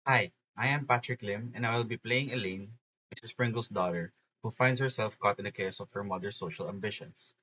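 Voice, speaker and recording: A man's voice: fairly low pitch, brisk, sharp tone. A man giving a scripted introduction of himself as a cast member, on a dull recording with faint noise.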